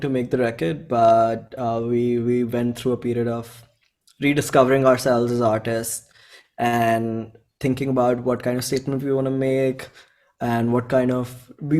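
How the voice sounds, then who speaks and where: A young man with an Indian accent and a medium-pitched voice chats in an interview. He rambles informally, sprinkles in slang and often voices his own thoughts as little quotes. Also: steady tone, moderate pace, darkish tone. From Bhopal, India. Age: 20s. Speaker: indie musician and songwriter being interviewed. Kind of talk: casual interview chat.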